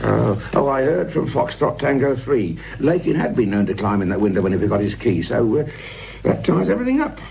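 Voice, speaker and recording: An actor playing a grumbling, sardonic, corner-cutting British police sergeant in a radio drama, full of world-weary asides. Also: male voice, medium pitch, big pitch swings, brisk, creaky, a little mellow, highs cut off, slight background noise. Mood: wry, brisk.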